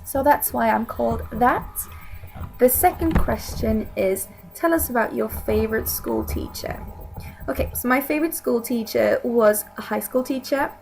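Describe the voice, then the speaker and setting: Young woman vlogging, kinda high voice, lots of vocal fry, rambles and restarts a lot. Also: normal speed, a little mellow, soft background music, rough recording. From Sweden. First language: Swedish. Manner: hesitant and rambling, many restarts. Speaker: YouTuber. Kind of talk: casual chat to camera.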